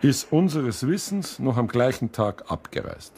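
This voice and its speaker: An actor playing a calm, matter-of-fact police officer in a radio crime drama, measured and inquisitive. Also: male voice, medium pitch, a little hiss or hum. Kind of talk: naturalistic German radio crime drama acting.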